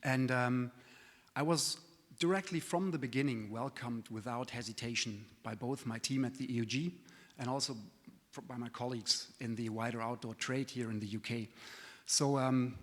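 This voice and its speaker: A man's voice: medium pitch, normal speed. A man who is not a native English speaker gives a friendly, self-deprecating conference presentation, with frequent fillers and restarts. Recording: reverberant conference hall.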